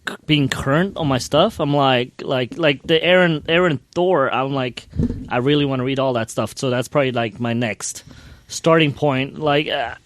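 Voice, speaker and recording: A man's voice: mid-range voice, moderate pace, dry recording. A chatty man co-hosting a podcast, talking informally and often repeating his words. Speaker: podcast co-host. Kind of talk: casual panel chat about comic books.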